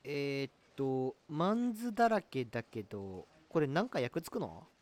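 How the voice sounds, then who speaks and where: A man playing a boastful, lovestruck, excitable young guy with a boyish, gushing manner in an amateur comedy radio drama. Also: medium pitch, moderate pace, natural voice. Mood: eager.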